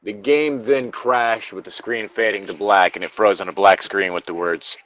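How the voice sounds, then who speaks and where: Low-voiced man, dull dry recording. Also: fairly even intonation, moderate pace, heavy background noise. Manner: sweary, sardonic in asides. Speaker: co-host reading video game creepypastas. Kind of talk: story reading with casual, joking asides.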